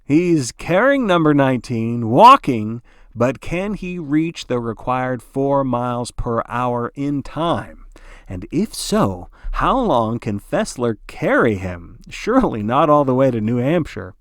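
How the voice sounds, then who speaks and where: A man's voice: medium pitch, very animated, slow pace, no echo, clean studio sound. A man narrating like a sports broadcaster, giving dramatic play-by-play in a theatrical, folksy announcer style.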